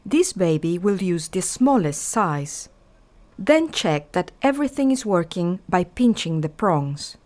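A woman reading scripted narration for a training film in a measured, instructional manner, step by step. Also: fairly low pitch, very animated, darkish tone.

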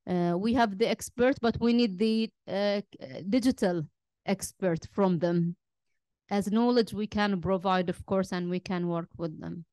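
A woman's voice: medium pitch, fairly even intonation, a little slow, dark tone, no echo, studio quality. A woman speaking on a conference panel, hesitant, with many fillers and restarts. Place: conference room.

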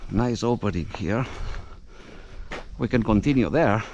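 A man's voice: on the low side, animated, darkish tone, muffled recording, slight background noise. A man giving casual running commentary as he climbs around an abandoned concrete building outdoors, filmed on an action camera. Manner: unhurried, plainly describing what he sees. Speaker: explorer filming an abandoned building. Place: outdoors on an unfinished concrete structure. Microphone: action camera held or worn.

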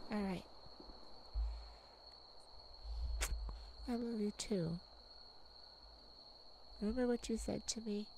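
A woman playing a tenderhearted mage devoted to a werewolf partner in a romantic ASMR roleplay, soft, affectionate and creaky, with pauses. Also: medium pitch, slight background noise, rough recording. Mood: tender, soothing. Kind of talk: romantic ASMR roleplay, one-sided dialogue.

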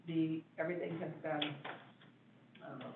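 A man giving a building report at a township board meeting, halting with many fillers and restarts. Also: a little high, steady tone, gravelly, noisy background. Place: meeting room. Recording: echoey room, far mic, phone-like band.

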